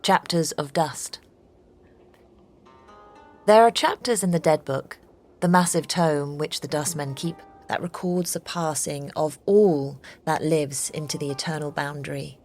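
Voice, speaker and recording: A woman giving scripted lore narration in a slow, solemn reading. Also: low voice, darkish tone, faint music behind.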